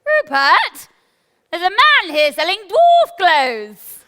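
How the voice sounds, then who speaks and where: A very high, swooping queen, flustered and excitable in the manner of stage pantomime. Her voice rings in the long reverb of a hall. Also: slow pace, exaggerated voice. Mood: flustered, exclaiming. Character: flustered queen, excitable wife of a pompous king.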